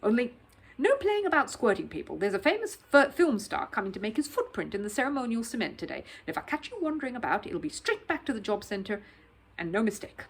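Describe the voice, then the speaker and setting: A woman voicing a brisk, fair-minded male factory foreman in a children's storybook, plain-spoken and practical. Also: fairly high pitch, big pitch swings, gravelly, breathy edge, exaggerated voice. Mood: brisk warning.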